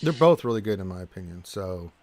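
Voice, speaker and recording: Animated American man hosting a gaming stream. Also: low-pitched, a little fast. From Houston, Texas, United States. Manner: animated, jokey, exclaiming. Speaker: gaming livestream host. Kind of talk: casual livestream chat, reading and answering viewer comments.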